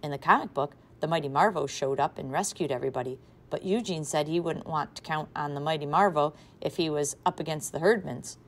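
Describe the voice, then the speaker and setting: A woman teacher reading a children's novel aloud to a class, with expressive, varied loudness. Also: deep voice, brisk, hardly any pauses. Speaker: third grade teacher.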